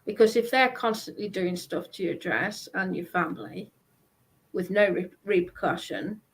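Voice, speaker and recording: A woman chatting casually in a hesitant, halting manner. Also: medium pitch, rough recording. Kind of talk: casual chat.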